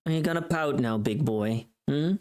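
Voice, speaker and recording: A woman's voice: sits low, moderate pace, darkish tone, smooth. A woman chatting casually on a livestream in a playful, teasing manner, swearing now and then. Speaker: streamer.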